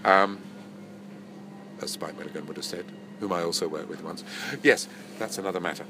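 Older man, deep and nasal with a cold. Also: steady tone, normal speed, background noise. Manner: rambling, self-correcting, chuckling. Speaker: actor and voice-over artist. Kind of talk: relaxed interview reminiscing.

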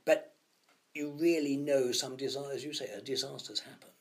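A man musing about sound effects in an interview, sometimes dropping to hushed asides. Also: mid-range voice, fairly even intonation, normal speed. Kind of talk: anecdotal interview chat.

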